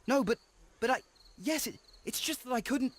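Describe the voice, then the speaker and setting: A man's voice: extremely high pitch, animated, moderate pace. An actor playing a nervous young male suspect in a video game, pleading in a hesitant, stammering way. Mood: panicked pleading. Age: young adult. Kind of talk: scripted video game acting.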